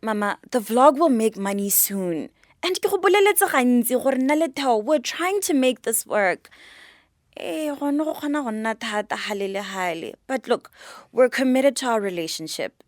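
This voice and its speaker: A woman's voice: on the high side, expressive, moderate pace, no echo, studio quality, lightly acted. A woman with a Botswana accent acting a proud, frustrated young mother protesting to her own parent in a radio drama. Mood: indignant. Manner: assertive, protesting.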